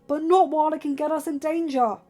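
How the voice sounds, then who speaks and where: A woman voicing a stern, protective young lord and father in a fantasy story, arguing with forceful, emphatic reason over music. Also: high voice, fairly even intonation, brisk, voice pushed up, lightly acted. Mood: angry, firm.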